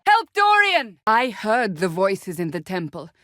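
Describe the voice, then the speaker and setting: An actress voicing a stern, devout warrior woman in a video game, with formal, deliberate and blunt, commanding delivery. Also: medium pitch, huge pitch swings, a bit bright, dry recording, clean studio sound. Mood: urgent battle shout. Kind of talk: video game voice acting, dramatic dialogue.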